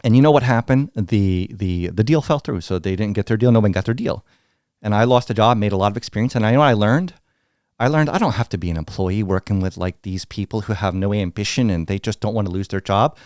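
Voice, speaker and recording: Blunt, animated American man. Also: fairly low pitch, fast talker, dry recording, studio quality. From United States. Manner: blunt, animated, acts out quoted dialogue. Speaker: guest. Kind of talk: candid storytelling interview.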